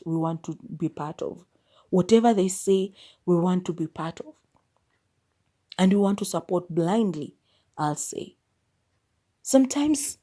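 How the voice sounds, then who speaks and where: A woman's voice: lowish voice, mellow. A young woman hosting a solo podcast, speaking her mind candidly and mocking what others say. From Kenya. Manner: opinionated, candid, mock-quotes people. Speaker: podcast host.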